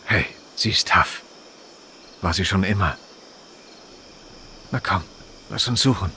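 An actor voicing a steady, encouraging young park ranger in a video game dub, casual and supportive. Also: male voice, sits low, takes it slowly, slight background noise, full character voice. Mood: reassuring. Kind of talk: dubbed video game acting.

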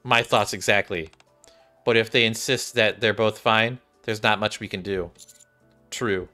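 A man's voice: lowish voice, steady tone, a little slow, sharp tone. A young adult man, a game streamer, reading visual novel dialogue aloud. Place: small indoor room. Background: game music.

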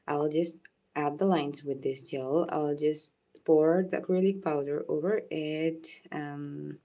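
A woman whose first language is Urdu, gently talking viewers through a nail art demonstration and thanking them by name. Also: low-pitched. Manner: soft-spoken, unhurried, thanks viewers by name. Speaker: nail art tutorial host. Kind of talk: live nail art demonstration with chat shout-outs.

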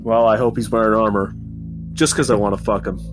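A man's voice: on the low side, fairly even intonation, a little fast. A man chatting casually as a player in a tabletop game, joking and swearing. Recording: background music under the voice.

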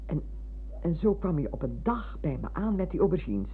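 A woman's voice: sits low, very animated, moderate pace. Chatty, fussing older woman with swooping intonation. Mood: fondly reminiscing. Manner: effusive, digressing storyteller. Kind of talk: radio play acting. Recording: narrow-band, noisy, humming old radio recording.

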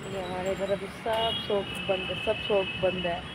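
A hoarse young woman chats to her viewers while she walks around the house holding her phone at arm's length. Clear background noise runs under her relaxed, chatty voice. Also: medium pitch, moderate pace. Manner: chatty, walking and filming herself while doing chores. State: hoarse sore throat. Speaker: vlogger. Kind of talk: casual vlog chat. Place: home kitchen and open rooftop. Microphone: phone held at arm's length.

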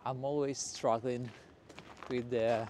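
Slow-talking man on a noisy street. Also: medium pitch, flat delivery. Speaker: vlogger hosting a walking tour. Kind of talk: casual unscripted walking-tour narration. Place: outdoors on a city street at night, walking. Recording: noisy outdoor street with traffic. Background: street ambience and passing vehicles.

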